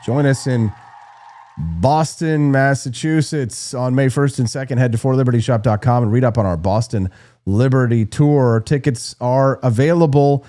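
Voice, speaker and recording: A man's voice: mid-range voice, moderate pace, mellow. A man hosting a political commentary show, delivering a punchy, sarcastic monologue while losing his voice. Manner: punchy, sarcastic, repeats "right?".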